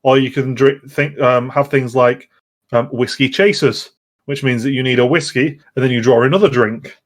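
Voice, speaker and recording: A man in a casual chat, explaining things in a halting, rambling way. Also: mid-range voice, fairly even intonation. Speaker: podcast host.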